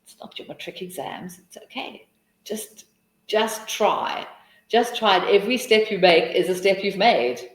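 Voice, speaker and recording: A woman's voice: mid-range voice, a little slow, roomy sound, low-quality recording. A South African woman hosting a live teaching webinar, answering questions in a chatty, encouraging way with many restarts.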